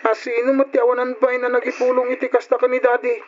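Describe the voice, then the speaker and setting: A man's voice: extremely high pitch, completely flat delivery, fast talker, a little hiss or hum. A man playing a hurt, indignant young son in old radio drama style, plaintive and insistent, on a muffled recording. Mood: shocked, questioning.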